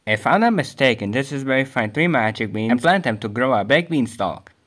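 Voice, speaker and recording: A man's voice: mid-range voice, lively intonation, a little fast, a bit bright, close to an everyday voice. A man voicing a cocky, wisecracking young big brother in a cartoon game fandub. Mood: cocky, curious.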